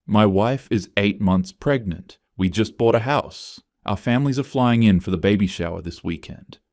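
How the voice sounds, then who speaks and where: Man playing desperate, deep-voiced accused office worker. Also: moderate pace, darkish tone, dry recording, studio quality, lightly acted. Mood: desperate, pleading. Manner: pleading, agitated.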